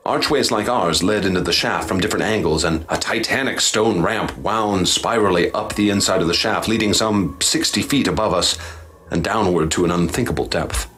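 A man narrating and acting as expedition leader in a radio drama, grave and measured. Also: sits low, a bit bright, a little hiss or hum.

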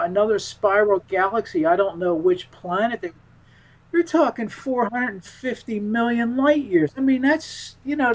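A man's voice: high voice, rough recording. A man speaking as a guest in a casual radio interview, rambling and emphatic in his manner.

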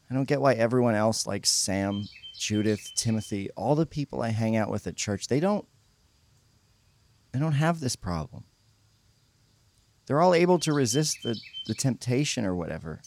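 A man's voice: mid-range voice, a little mellow, slight background noise, natural voice. An actor playing a troubled, guilt-ridden young churchgoing man, speaking hesitantly and stammering in a naturalistic audio drama.

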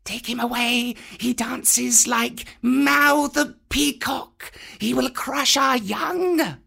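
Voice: high voice, takes it slowly, gravelly, sharp tone, breathy edge, voice pushed up, full character voice. A reader voicing a group of spiteful cobras in a storybook.

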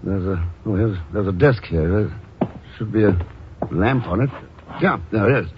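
An actor playing a calm, dryly sardonic espionage hero with a husky voice in a 1950s radio drama. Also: male voice, fairly low pitch, measured pace, background noise. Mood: steady. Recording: muffled old radio recording.

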